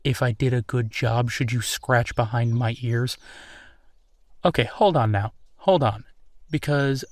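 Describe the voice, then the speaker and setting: A man playing an easygoing, playful werewolf boyfriend in a roleplay audio, talking casually and haltingly with a self-deprecating streak. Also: mid-range voice, dry recording, lightly acted. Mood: playful, relaxed. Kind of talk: intimate boyfriend roleplay audio, improvised-sounding one-sided conversation.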